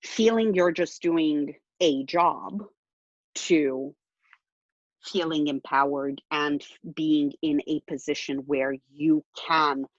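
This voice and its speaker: A woman's voice: low-pitched, slow pace, dry recording, dull audio. A woman in her thirties from Romania, talking earnestly in a casual interview over a video call, with many fillers and repeated words. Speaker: interview guest, blockchain project co-founder.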